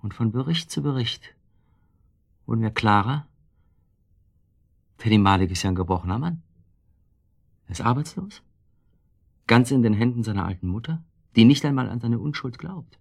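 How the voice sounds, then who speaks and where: Gravelly, brooding guilt-worn husband. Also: male voice, medium pitch, expressive, normal speed, long pauses. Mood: weary, confessional. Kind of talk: German radio drama acting.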